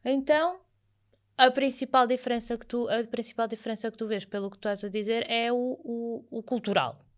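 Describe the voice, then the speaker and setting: High-voiced woman hosting a podcast. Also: steady tone, a little fast. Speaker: podcast host. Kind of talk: conversational interviewing.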